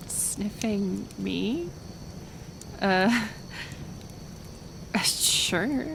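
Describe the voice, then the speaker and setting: Soft slow half-elf healer lady woman acting, kinda creaky voice, hesitant n soothing, asmr roleplay, noisy ambience behind. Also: mid-range voice. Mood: flustered, frank. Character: gentle, stubborn young half-elf healer woman, lonely and kind.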